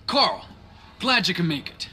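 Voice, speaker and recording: Bright, exasperated crime boss. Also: male voice, sits high, big pitch swings, unhurried, breathy edge, full character voice. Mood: weary, exasperated. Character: irascible, shrewd triad casino boss. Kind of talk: video game cutscene acting.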